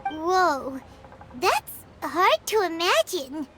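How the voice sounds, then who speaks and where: Squeaky little fairy companion. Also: female voice, very animated, extremely slow, very noisy, full character voice. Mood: amazed, curious. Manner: speaks of self in third person, wide-eyed. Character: small childlike female fairy companion, naive and curious. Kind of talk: cartoonish video game voice acting. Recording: music behind the voice.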